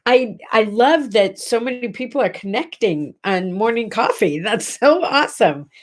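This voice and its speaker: A woman's voice: a little high, lively intonation, moderate pace. A middle-aged woman with a congested, nasal voice, hosting a casual morning livestream chat from her home and chatting directly to viewers. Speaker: host. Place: home bedroom.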